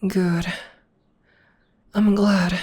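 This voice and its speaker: A young woman voicing a tender, protective witch girlfriend in an ASMR roleplay, speaking softly and often whispering close to the microphone. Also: medium pitch, level tone, extremely slow, breathy edge. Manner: soft and soothing, often whispering. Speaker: voice actor.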